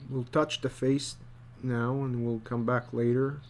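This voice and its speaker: A man's voice: medium pitch, flat delivery. Man teaching informally over noisy hum. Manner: halting, repeats phrases while demonstrating. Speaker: storyboard artist teaching. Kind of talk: informal drawing tutorial, thinking aloud while working. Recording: clearly noisy background with hum.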